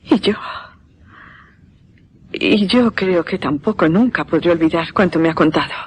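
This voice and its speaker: An actor with a Castilian Spanish accent playing an earnest journalist, reflective and measured, in a radio drama. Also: male voice, fairly high pitch, a little hiss or hum, close to an everyday voice. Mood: pensive. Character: earnest journalist pondering a macabre report.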